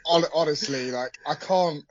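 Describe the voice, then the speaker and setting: A man's voice: fairly high pitch, measured pace, over a noisy crowd. A man giving casual football analysis on a podcast, hesitant with many ums, in a glum mood, over a call. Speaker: podcast co-host. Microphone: remote call microphone. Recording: muffled dry call audio.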